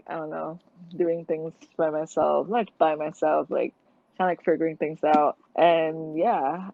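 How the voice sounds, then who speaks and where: A woman's voice: sits low, no echo. A young woman from Malaysia in a casual interview, talking earnestly and hesitantly with many fillers and restarts. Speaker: guest. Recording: tinny phone-like line, dry.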